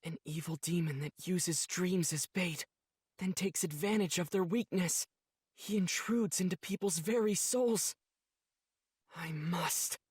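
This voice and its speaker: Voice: medium pitch, measured pace, a little mellow, slightly rough, no echo, studio quality. An actor voicing an earnest, resolute young hero, narrating an anime game story with grave determination.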